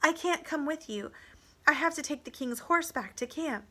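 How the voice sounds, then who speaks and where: A woman's voice: animated, normal speed. A woman voicing a brave young slave girl who works as a groom, with an urgent manner, in a storybook reading. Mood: hushed pleading. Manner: urgent, swinging from high shouts to hushed pleas.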